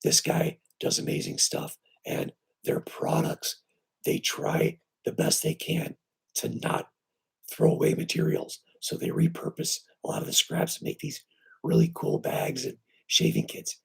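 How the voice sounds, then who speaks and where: A man with weak, breathy and raspy vocal cords, hoarse and short of breath, hosting a casual live stream from home on his computer and talking haltingly with many fillers. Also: rumbling low. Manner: halting, with many fillers and restarts, lively when greeting viewers. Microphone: computer microphone.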